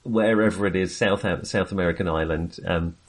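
A man's voice: low voice, normal speed. A man co-hosting a film podcast, chatting casually in a hesitant, wandering way with self-amused asides.

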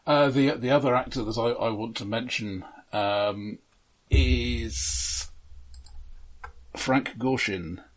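A man co-hosting a casual film discussion, explaining things in a measured, halting way. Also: medium pitch, low-quality recording. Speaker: podcast co-host.

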